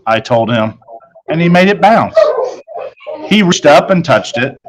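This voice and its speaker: A man's voice: on the high side, very animated, a little slow, crowd noise, low-quality recording. A man reading a children's novel aloud as its first-person narrator. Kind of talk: read-aloud story narration.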